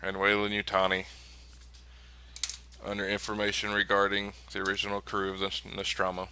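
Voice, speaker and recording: A man playing a tabletop role-playing game, talking in measured phrases at the game table. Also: lowish voice, monotone, sharp tone, a little hiss or hum.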